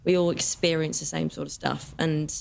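A young adult actress talking candidly in a casual podcast chat, full of fillers like "you know" and "like". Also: female voice, low voice, little pitch movement, normal speed, gravelly, darkish tone. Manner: chatty, candid, many fillers. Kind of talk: casual conversation.

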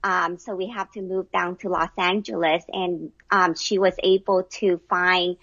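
A woman's voice: on the low side, steady tone, measured pace, fairly bright tone, no room sound, muffled recording. A middle-aged woman from Vietnam, answering casually as an interview guest. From Vietnam, moved to the US at eleven.

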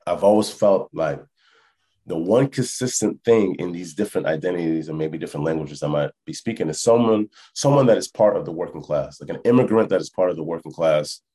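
A man being interviewed casually on a podcast as a musician, thinking aloud haltingly and often checking that the listener follows. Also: low voice, warm tone. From born in France, lived in Canada and Texas, Congolese family. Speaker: hip hop musician, interview guest.

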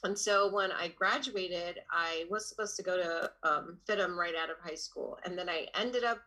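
A young woman from Los Angeles chats as an interview guest, her voice bright yet sitting a little low. She talks loosely, stringing thoughts together with constant fillers. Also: moderate pace, rough recording. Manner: filler-heavy, lots of "like" and "um". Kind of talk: casual interview chat.

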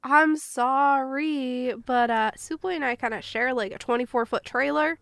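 A woman's voice: high-pitched, unhurried, vocal fry, fairly bright tone, no room sound, studio quality. A woman co-hosting a podcast in casual chat, sounding sniffly and congested from a cold. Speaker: podcast co-host.

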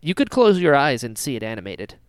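Guy podcast host geeking out, lively swoopy pitch, clean smooth voice, really dry sound. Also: fairly quick, no room sound. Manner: enthusiastic, animated. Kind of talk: casual fan chat.

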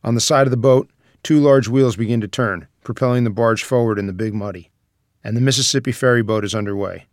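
A man's voice: mid-range voice, fast talker, no echo, studio quality. A man reading scripted stage directions as the narrator of a screenplay table read.

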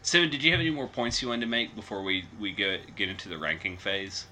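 A man hosting a casual film podcast, with a lowish voice but a very bright, sharp tone. Faint noise sits behind him. He moves the talk along in a relaxed way. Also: fast, low-quality recording. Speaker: podcast host.

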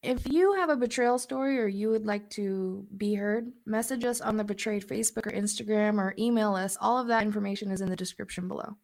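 A woman's voice: medium pitch, a little fast, vocal fry, few pauses, a little mellow. A woman co-hosting a casual podcast, chatty and laughing between points, sounding a little stuffy from a cold. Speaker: podcast co-host.

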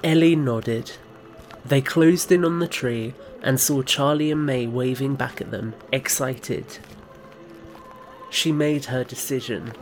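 A man's voice: mid-range voice, slow pace, faint music behind, poor audio. A man reading scripted third-person narration as the narrator of an audio drama.

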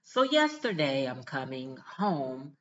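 A woman's voice: rumbling low, slow pace, highs cut off, a little hiss or hum. An older woman telling a personal story in a casual, chatty self-help vlog, often laughing as she talks. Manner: chatty, laughs easily, animated retelling.

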